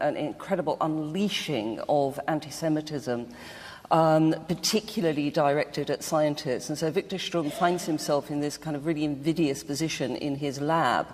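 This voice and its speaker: A woman's voice: deep voice, a little mellow. A woman's voice rings through a reverberant hall as she takes part in a literary panel. She speaks as a guest with firm opinions, leaning on her key points. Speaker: panel guest discussing a novel. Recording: echoey hall with long reverb.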